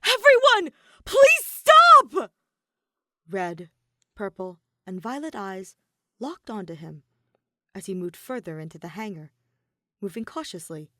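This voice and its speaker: A woman reading dramatic fan fiction narration in a deliberate way, pausing between phrases. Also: fairly low pitch, expressive, darkish tone, no room sound. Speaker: podfic reader.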